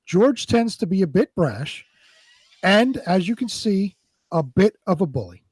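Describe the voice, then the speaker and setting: A man's voice: sits high, big pitch swings, unhurried, darkish tone, slightly rough, dry recording, low-quality recording. A man co-hosting a casual live show, chatting and reading out narration. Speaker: show co-host and drama narrator.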